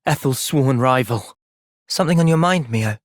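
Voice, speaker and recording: Male actor as young soldier, gentle but resolute, sincere game-cutscene delivery, lively pitch, close dry sound. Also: normal speed, no room sound, close to an everyday voice. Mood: calm, thoughtful. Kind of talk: video game cutscene acting.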